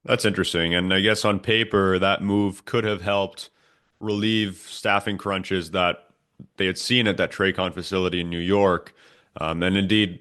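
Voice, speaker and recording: A man co-hosting a podcast, giving a measured, prepared explanation. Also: low-pitched, a bit bright. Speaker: podcast co-host.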